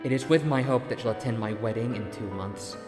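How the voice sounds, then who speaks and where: Audio story role: male actor as earnest young former student, shy, grateful, mid-range voice, music clearly underneath. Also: mid-range voice, brisk, lightly acted. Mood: heartfelt. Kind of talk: dramatic audio story reading.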